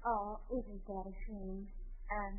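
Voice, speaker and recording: An actress in a 1940s radio comedy playing an eager, sympathetic young housewife who talks in an earnest, solicitous way. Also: female voice, medium pitch, little pitch movement, slow. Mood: sympathetic, tentative. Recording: narrow-band 1940 radio recording.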